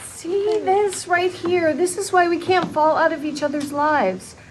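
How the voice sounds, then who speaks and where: A woman's voice: very high voice, measured pace, background noise. An actress playing an emotional, caring mother in her thirties, reading her lines with heartfelt feeling at a table read.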